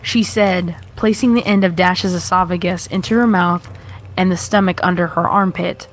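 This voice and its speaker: A woman's voice: lowish voice, steady tone, normal speed, heavy background noise. A woman narrator reading a scripted horror story.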